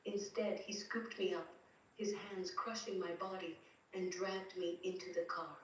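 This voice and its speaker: A woman voicing a terrified, grieving wife, telling her story in slow, dramatic first-person narration. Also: fairly low pitch, steady tone, dull audio, natural voice. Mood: frightened. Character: terrified, grieving young wife recounting abuse from beyond death. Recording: distant, very echoey, faint noise.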